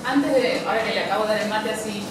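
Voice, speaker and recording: A woman's voice: mid-range voice, fast talker. A woman teacher explains things to her class, repeating words as she thinks. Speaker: Spanish teacher. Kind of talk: classroom announcement. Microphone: far camera. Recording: echoey classroom from far off, noisy.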